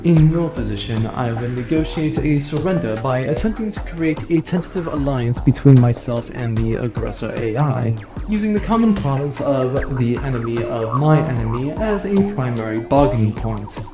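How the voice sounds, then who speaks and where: A man voicing a calm, formal spaceship artificial intelligence in a comic sci-fi audio drama, speaking in a measured, matter-of-fact way. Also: mid-range voice, voice pushed down, lightly acted. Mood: cold menace. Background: music.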